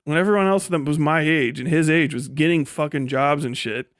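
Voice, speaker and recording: A man's voice: on the high side, a little fast, no room sound, clean studio sound. A man hosting a livestream with casual, unscripted commentary, talking bluntly and opinionatedly with heavy swearing as he responds to chat. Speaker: livestream host reading and answering chat.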